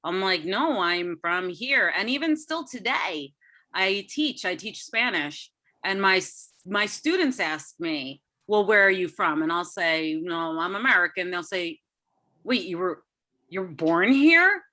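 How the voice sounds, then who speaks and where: A woman with a New Jersey accent speaks over a video call, her voice bright and forward. She tells lively stories, playing out the voices of people who question her. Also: on the low side, unhurried. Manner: animated storytelling, acting out others' questions with laughs. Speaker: Spanish teacher.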